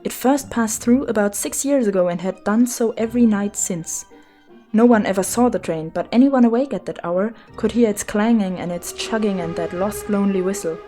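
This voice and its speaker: A woman's voice: mid-range voice, normal speed, warm tone. A woman reading a children's story as its narrator, in a scripted storybook style. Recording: music behind the voice.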